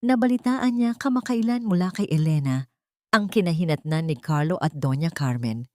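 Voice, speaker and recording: Low-voiced woman narrating a solemn story. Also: expressive, moderate pace, dry recording, clean studio sound. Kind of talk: scripted dramatic audio story narration.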